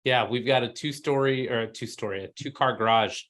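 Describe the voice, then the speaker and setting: A man's voice: medium pitch, fairly quick, a bit bright. A man hosting a podcast, asking questions in a friendly, chatty way. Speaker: podcast host. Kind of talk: casual interview chat.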